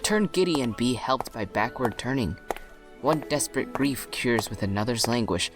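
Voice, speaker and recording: Man playing a middle-pitched sensible young friend. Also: animated, moderate pace, over music, natural voice. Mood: advising, insistent. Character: sensible, teasing young nobleman, loyal friend. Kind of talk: Shakespearean audio play acting.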